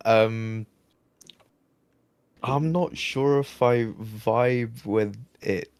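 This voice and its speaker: A man's voice: on the low side, extremely slow, darkish tone, clear, resonant tone, no room sound. A man co-hosting a live stream, answering in a hesitant, laid-back way with short replies. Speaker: co-host and editor. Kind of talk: casual chat.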